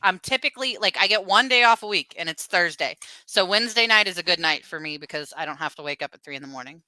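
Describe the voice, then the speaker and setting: Bright, crisp-voiced woman giving brief dry replies. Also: lowish voice, expressive, fast, no room sound, poor audio. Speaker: co-host. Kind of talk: casual fan chat.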